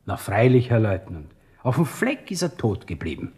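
Chatty servile viennese waiter done by a male actor, very lively up and down pitch, radio play. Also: natural voice. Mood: mournful. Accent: Viennese Austrian. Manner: servile, chatty, drawn-out storytelling. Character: deferential, gossipy Viennese coffeehouse waiter. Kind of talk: radio drama acting.

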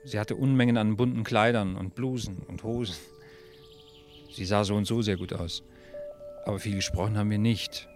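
A man's voice: fairly low pitch, steady tone, normal speed, darkish tone, lightly acted. An actor playing a wistful, reserved neighbour, narrating his memories in an understated way in a radio drama. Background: music.

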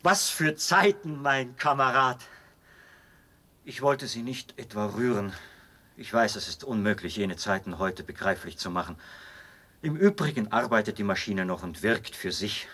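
An actor playing a fanatical officer in a radio drama, speaking in an insistent, rhetorical way with dramatic pauses. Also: male voice, lowish voice, bright, forceful tone. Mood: nostalgic, wistful. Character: male officer, fanatical devotee of an old execution machine. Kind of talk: German radio play acting.

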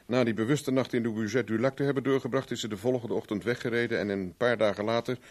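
Male private eye, played by an actor, 1970s radio play: medium-pitched, brisk, ironic, stubborn. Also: steady tone, close to an everyday voice. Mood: pensive.

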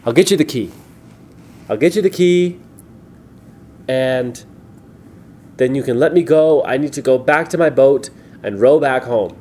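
A man's voice: mid-range voice, a little slow, pauses often, dark tone, slight background noise, close to an everyday voice. A man voicing a small, frightened, anxious character in a children's story, stammering and repeating himself. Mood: anxious agreeing.

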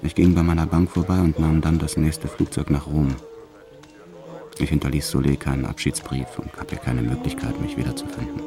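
A proud, dangerous male gangster recounting his life for a radio play. His voice is extremely deep and creaks with gravel. He speaks in a measured, sombre confessional manner, cold and hard, with faint music underneath. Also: sing-song, heavily acted. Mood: detached, proud. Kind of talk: first-person radio play narration.

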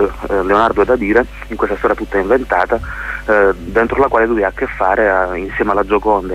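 A man's voice: fairly low pitch, a bit bright. A man being interviewed on radio as a playwright, speaking reflectively and often searching for his words. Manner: thoughtful, halting, searching for words. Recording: muffled radio interview with hum and hiss.

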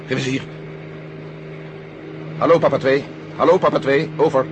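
An actor playing a gruff, short-tempered army general in a 1970 radio drama, commanding and blunt. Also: male voice, medium pitch, fairly even intonation, moderate pace, close to an everyday voice. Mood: brisk, commanding. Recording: noisy old radio recording, engine drone.

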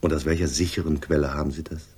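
An actor playing a gruff, sardonic police inspector in a German radio crime drama, questioning with dry authority. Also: male voice, very deep voice, steady tone, fast talker, a little mellow. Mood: stern probing.

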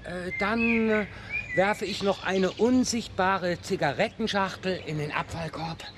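A man's voice: sits high, steady tone, a little slow, noisy background. A man playing a playful father in a radio play, spinning absurd stories in a whimsical, improvising manner.

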